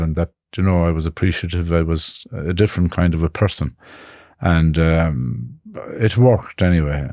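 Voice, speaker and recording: A man in his fifties with an Irish accent from Connemara, telling his life story in a reflective, halting way. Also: low voice, a little mellow, no room sound, studio quality. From Ireland. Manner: reflective, halting, with frequent restarts. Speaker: guest. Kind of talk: personal life story in conversation.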